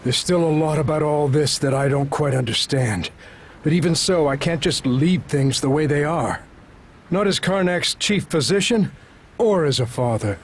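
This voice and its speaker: A male actor plays a gentle-mannered doctor and anxious father in a video game. His voice sits a little high for a man, and he speaks politely and earnestly, with some hesitation. A faint noise lies behind him. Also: moderate pace, natural voice. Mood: resolute, earnest. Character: earnest town doctor and worried father, middle-aged man. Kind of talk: video game cutscene acting.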